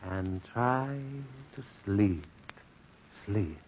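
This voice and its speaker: An actor playing a courteous, reasonable doctor in a 1940s radio drama. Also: male voice, lowish voice, lively intonation, drawn-out words, slight background noise. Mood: soothing. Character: composed, courteous male doctor, reasonable and confident. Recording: dull old radio recording.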